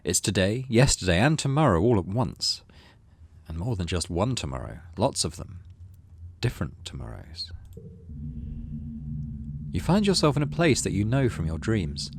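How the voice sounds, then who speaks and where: A man's voice: fairly low pitch, big pitch swings, normal speed, gravelly, long pauses, noisy background. A man hosting a gaming video, reading story text aloud expressively and adding casual, musing commentary.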